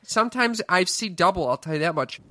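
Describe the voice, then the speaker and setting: A man's voice: sits high, lively intonation, brisk, heavy background noise. A man in his twenties co-hosting a casual podcast, wry and defensive, stammering often. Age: 20s. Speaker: podcast co-host, stand-up comic. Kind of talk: casual chat.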